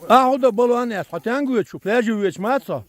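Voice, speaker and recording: A man from Chechnya chatting casually with companions while hiking, tossing out loud offhand remarks and short questions outdoors in a mountain gorge. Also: very high voice, racing. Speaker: hiker filming a trip. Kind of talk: casual chat among companions on a hike.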